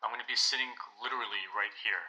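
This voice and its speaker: A man's voice: fairly low pitch, fast talker, pushed and bright, slight background noise, rough recording. A young man playing a suspicious, insistent teenage basketball player in a naturalistic audio drama, speaking casually and bluntly.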